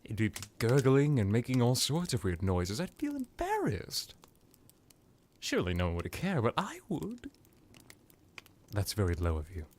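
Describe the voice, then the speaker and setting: A man's voice: medium pitch, wildly swooping pitch, measured pace, pauses often, darkish tone, a little hiss or hum. A man playing an ancient vampire lord in an ASMR roleplay, seductive, teasing and menacing, with a theatrical delivery that drifts into whispers. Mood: petulant, wry.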